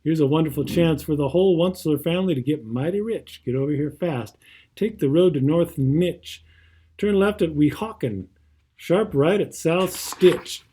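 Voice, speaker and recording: Greedy storybook businessman acted by a man, boastful, pushy salesman patter, husky, fairly high pitch. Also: normal speed, mellow. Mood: excited, commanding. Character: greedy, dismissive male entrepreneur, fast-talking salesman.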